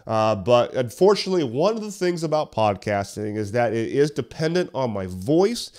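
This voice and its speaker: A man hosting a solo podcast tutorial, talking conversationally and a little hoarse while getting over a cough, walking listeners through tips step by step. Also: medium pitch, sing-song, moderate pace, darkish tone. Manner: conversational, explains step by step with questions. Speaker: podcast host. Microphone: studio podcast mic.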